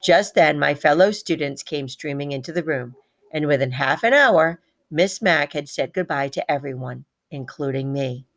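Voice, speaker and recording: A woman reading a children's story aloud in an expressive, animated manner. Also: deep voice, normal speed.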